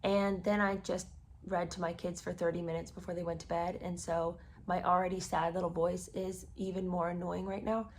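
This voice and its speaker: A woman hosting a casual commentary podcast, hoarse with her voice cracking, talking in an exasperated, sarcastic tone. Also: fairly low pitch, flat delivery, moderate pace, slight background noise. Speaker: podcast host. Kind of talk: casual commentary reading a memoir.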